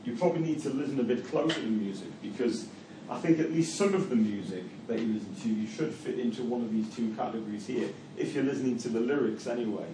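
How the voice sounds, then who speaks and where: A man's voice: mid-range voice, quick pace, hardly any pauses. A middle-aged man with a Geordie accent from Newcastle gives a university lecture and leads a class discussion, restarting phrases and using fillers often. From Newcastle, England. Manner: hesitant, repeats words, many fillers. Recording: echoey room from far off, faint noise.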